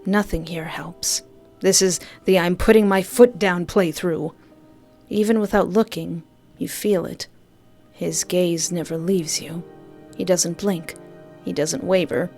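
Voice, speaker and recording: Woman doing a game playthrough, lowish voice, slow dramatic reading, music behind her. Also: fairly even intonation, creaky, mellow. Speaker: gaming video host reading a visual novel aloud. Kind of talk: playthrough commentary and dramatic narration.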